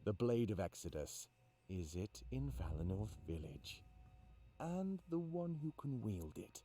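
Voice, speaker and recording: Man acting out ruthless animal-man villain, low dark voice, swooping menace, taunting, visual novel interrogator. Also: sing-song. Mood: demanding, threatening. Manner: menacing, taunting, deliberate threats. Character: sinister anthropomorphic male interrogator, intimidating and ruthless.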